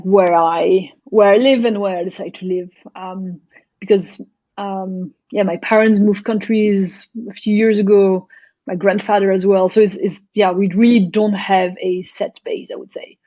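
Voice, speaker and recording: A woman from Belgium answers interview questions thoughtfully, pausing and restarting often. Her mid-pitched voice comes through dry and slightly muffled. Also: fairly even intonation, mellow, no room sound. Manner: thoughtful, hesitant, many fillers and restarts. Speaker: guest, startup founder. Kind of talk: casual interview chat.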